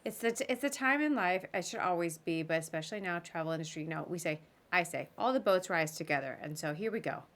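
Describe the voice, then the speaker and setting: A woman with a somewhat low-pitched voice hosts a live podcast interview. Her delivery is casual and halting, with a self-deprecating streak as she moves between questions. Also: lively intonation, a bit bright. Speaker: podcast host interviewing a guest.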